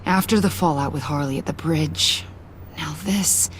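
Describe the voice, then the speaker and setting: A woman playing a troubled, guilt-ridden character in a video game, speaking in a halting, earnest way. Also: low voice, a little hiss or hum. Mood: worried, remorseful. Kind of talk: video game voice acting, naturalistic.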